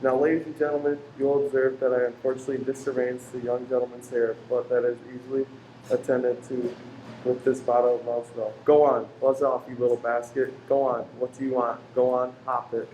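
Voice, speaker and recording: A man's voice: mid-range voice, level tone, a little fast, dark tone, lightly acted. A man playing a pushy street salesman in a radio play, delivering declamatory, bossy sales patter. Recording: echoey room with faint noise.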